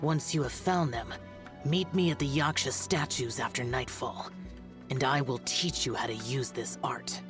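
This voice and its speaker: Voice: medium pitch, measured pace, lightly acted. A stern young immortal warrior from a video game, played by an actor, solemn and aloof. The speech is formal and terse, with music behind it. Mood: solemn, instructive. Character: stern, aloof, ageless young male demon-slaying guardian.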